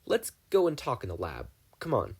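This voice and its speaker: A man voicing a brisk old scientist. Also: mid-range voice, wildly swooping pitch, slightly rough, pitched above the performer's own voice, heavily acted. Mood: concerned. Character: older male scientist, brisk and commanding. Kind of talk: podfic fan fiction reading.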